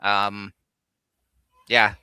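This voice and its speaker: A man co-hosting a casual gaming livestream, explaining his views in a measured way. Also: fairly low pitch, monotone, pushed and bright, clear, resonant tone, no echo, studio quality. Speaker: co-host of a live gaming countdown show. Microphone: streaming call microphone.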